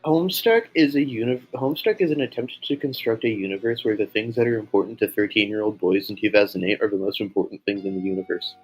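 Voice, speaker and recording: A man's voice: fairly low pitch, steady tone, quick pace, hardly any pauses, breathy edge, poor audio. A man co-hosting a livestream in casual banter. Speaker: livestream co-host. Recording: music playing behind the voice.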